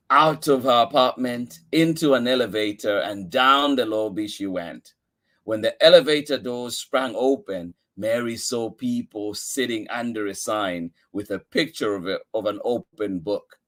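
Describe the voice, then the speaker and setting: Male story-time host, unhurried delivery, reading a picture book with dramatic, expressive emphasis. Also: mid-range voice. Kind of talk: expressive read-aloud storytelling.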